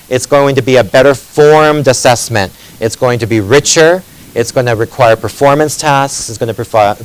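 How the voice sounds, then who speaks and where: A man's voice: medium pitch, smooth, low-quality recording. A middle-aged man whose first language was Greek speaks at a conference podium, explaining his points earnestly in a mid-range voice. He sometimes stumbles and restarts a phrase, then carries on steadily. He sounds like an experienced former teacher addressing other educators. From Canada. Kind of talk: conference presentation. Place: conference hall.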